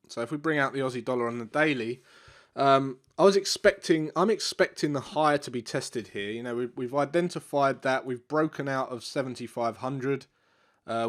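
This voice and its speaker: Guy going over forex charts, stuffy blocked nose, rambly with lots of ums, mid-pitched voice. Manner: relaxed, repeats himself, many fillers. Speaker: forex trading educator. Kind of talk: live market analysis walkthrough.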